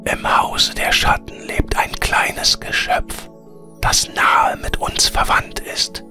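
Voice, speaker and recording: A gentle, kindly male air spirit from a storybook, as a narrator puts him on. Every word comes as a soft, unvoiced whisper, hushed and a little distant. Faint music drifts behind. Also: takes it slowly.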